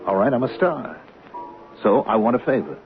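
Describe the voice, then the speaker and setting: An actor playing an anxious soap star who speaks earnestly and urgently, pleading, in a 1970s radio drama. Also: male voice, mid-range voice, big pitch swings, normal speed, over music. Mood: insistent. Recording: narrow-band old radio broadcast.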